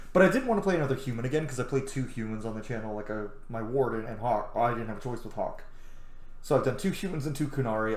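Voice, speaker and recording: A man's voice: medium pitch, a little mellow, reverberant. A man giving casual, rambling, opinionated gameplay commentary as a streamer, swearing freely. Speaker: streamer playing a video game.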